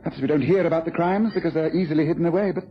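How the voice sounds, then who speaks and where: An actor playing a shrewd, self-assured English detective in a British radio drama, speaking briskly, precisely and knowingly. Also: male voice, a little high, slightly rough. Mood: brisk, musing. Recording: dull old radio recording, faint noise.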